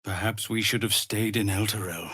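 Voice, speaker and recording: An actor playing a weary, dutiful leader of refugee outcasts in a video game, speaking formally and earnestly. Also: male voice, fairly low pitch, steady tone, moderate pace, pushed and bright, slightly rough, no echo. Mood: grave, weary. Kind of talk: dramatic video game voice acting.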